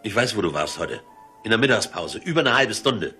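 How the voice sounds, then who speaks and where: Male, middle-aged, lowish voice: a whining, wronged ex-husband, radio drama, roomy sound. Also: very animated, a little fast, bright, forceful tone, faint music behind. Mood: reproachful. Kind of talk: German radio drama acting.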